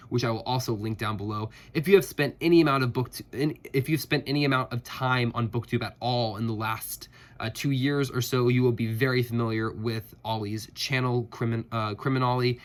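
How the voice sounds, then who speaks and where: A man's voice: medium pitch, a bit bright. A man with a stuffed-up voice from a head cold, chatting casually about his reading with many fillers and restarts. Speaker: booktuber.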